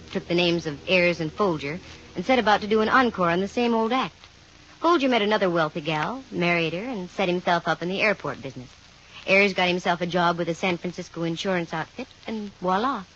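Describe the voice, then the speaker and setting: A woman's voice: medium pitch, a bit bright, lightly acted. Actress doing a wry lady private eye, 1950 radio drama, slangy, dry humor, muffled old recording w some hiss. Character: wry, brash female private investigator. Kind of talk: 1950s radio detective drama narration and acting.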